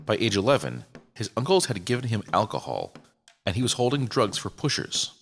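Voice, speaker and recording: A man's voice: on the low side, very animated, a little fast, a bit bright, slightly rough, soft background music. A man reading a scripted true-crime story as a podcast narrator.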